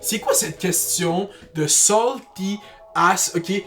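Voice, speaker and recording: A man's voice: sits high, slow pace, gravelly, a bit bright. A young man with a Québécois French accent talking casually to camera as a YouTuber, in slangy speech peppered with English words. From Quebec, Canada. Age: young adult. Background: music.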